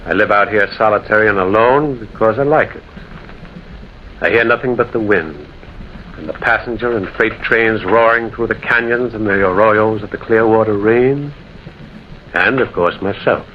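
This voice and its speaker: An actor playing a lonely, haunted prospector telling a ghost tale in brooding, theatrical old-time radio style. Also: male voice, fairly low pitch, normal speed, lightly acted. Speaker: narrator and lead character. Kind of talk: old-time American radio drama acting. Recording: dull, noisy old radio recording.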